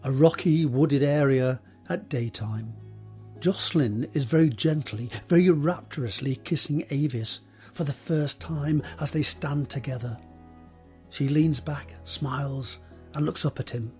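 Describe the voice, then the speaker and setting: A man narrating a scripted drama, setting each scene in a measured, descriptive read with a husky voice. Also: mid-range voice, darkish tone, soft background music.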